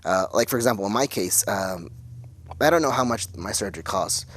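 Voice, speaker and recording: A man in his forties speaks in a low voice, recorded close and dry. He talks casually as an interview guest from West Texas, often halting and feeling around for the right word. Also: expressive, fairly bright tone, dry recording. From West Texas, United States. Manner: halting, searching for words. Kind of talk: casual interview talk, personal account.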